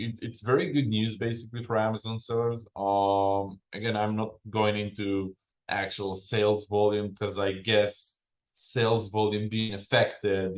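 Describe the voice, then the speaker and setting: A man who founded a freight company, explaining shipping trends as a podcast guest, unhurried and full of fillers and restarts. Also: on the low side. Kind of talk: explanatory business interview. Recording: roomy echo.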